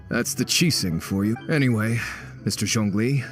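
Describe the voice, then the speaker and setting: An actor playing a wary, scornful faction agent in a video game, speaking formally with a sardonic edge. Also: male voice, on the low side, unhurried, soft background music, natural voice. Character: wary, scornful male member of a foreign faction.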